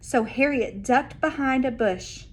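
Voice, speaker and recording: High-voiced woman reading a storybook aloud. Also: unhurried. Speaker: elementary school teacher. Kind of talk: children's read-aloud narration.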